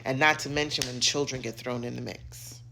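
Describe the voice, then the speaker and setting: A middle-aged American woman giving an opinionated rant to camera, emphatic, sassy and theatrical, with dramatic pauses and drawn-out words. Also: rumbling low, steady tone, vocal fry, bright, forceful tone, a little hiss or hum, rough recording. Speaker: social media commentator.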